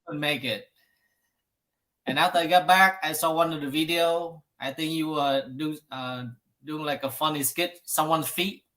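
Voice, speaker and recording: Halting man hosting an interview. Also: on the high side, fairly even intonation, sharp tone. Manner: halting, many fillers. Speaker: podcast host.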